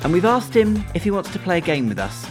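Male radio interviewer, very lively intonation, mid pitch, friendly and encouraging, faint music bed. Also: fast. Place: live radio show at a comedy festival.

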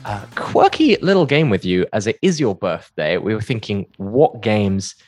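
Low-pitched man hosting playful radio chat. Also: sing-song, moderate pace, darkish tone, soft background music. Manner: playful, teasing. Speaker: radio host. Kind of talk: light-hearted interview chat with a game.

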